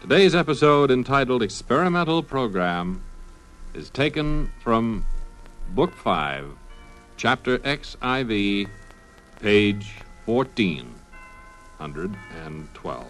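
Man doing grand radio announcer, medium-pitched, portentous, measured, mock soap introduction, music behind. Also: sing-song, fairly bright tone, rough recording. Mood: grandly solemn. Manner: pompous, measured, drawn-out.

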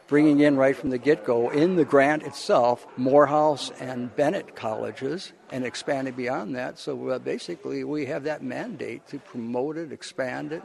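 A male college professor giving informal interview answers, explaining things in a halting, rambling way. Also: medium pitch, vocal fry, darkish tone.

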